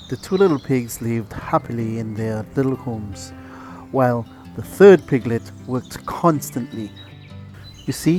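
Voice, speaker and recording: A father reads a children's bedtime story aloud, gentle and steady. His voice sits in a plain middle range. Faint music plays underneath. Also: mid-range voice.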